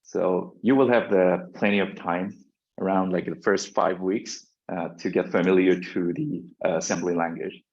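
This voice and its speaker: A man's voice: deep voice, steady tone, normal speed, a little mellow. A man whose first language is Korean, giving a university lecture with many fillers and restarts. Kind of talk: classroom lecture. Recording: dull lo-fi lecture recording.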